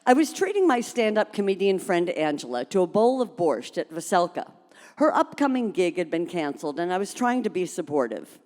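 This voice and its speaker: A woman reading a humorous story aloud at a live event, expressive and dramatic, in a reverberant hall. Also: lowish voice, brisk. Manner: expressive, acts out dialogue.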